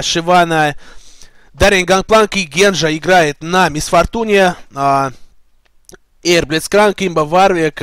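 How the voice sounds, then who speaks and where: A man giving esports play-by-play commentary, brisk and listing picks rapidly. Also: on the high side, a bit bright, clear, resonant tone, no room sound, clean studio sound.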